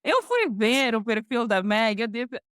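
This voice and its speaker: A woman hosting a casual podcast interview, telling things in an animated way with playful quoting. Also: fairly high pitch, a little slow, no echo, clean studio sound. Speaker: podcast host.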